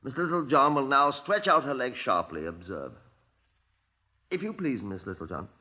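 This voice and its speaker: An actor playing a brisk, bossy pathologist who lectures with hesitant uh's, in the style of 1950s radio drama. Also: male voice, mid-range voice, very animated. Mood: bossy, instructive. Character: brisk, fussy male pathologist demonstrating to police. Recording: muffled 1950s radio recording.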